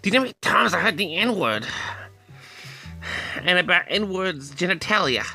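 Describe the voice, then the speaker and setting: A man hosts a storytelling vlog with a forceful, gravelly edge to his voice. His delivery is theatrical and indignant, full of dramatic pauses and wide swings in pitch. He speaks straight to his audience as he tells his tale. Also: sing-song, soft background music. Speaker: vlog host. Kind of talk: storytelling monologue addressed to the audience.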